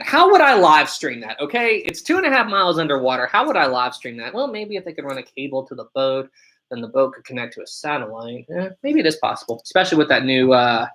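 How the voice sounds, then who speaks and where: Man with a deep voice, chatting on a live stream. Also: very animated, a little fast, fairly bright tone. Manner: chatty, answers viewer questions one by one. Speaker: live stream host reading chat. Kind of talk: casual live stream chat.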